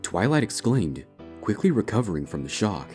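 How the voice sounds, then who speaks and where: Deep-voiced man narrating a dark story. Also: very animated, moderate pace. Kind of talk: dramatic scripted narration of dark fan fiction. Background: background music.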